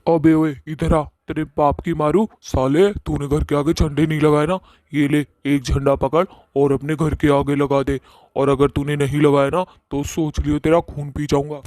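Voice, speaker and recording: A man's voice: a little high, flat delivery, normal speed, darkish tone, dry recording, natural voice. Man acting a swaggering, bullying cartoon ghost. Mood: threatening. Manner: blustering, emphatic, threatening. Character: swaggering male ghost, crude, bullying, menacing. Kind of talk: Hindi cartoon horror-comedy acting.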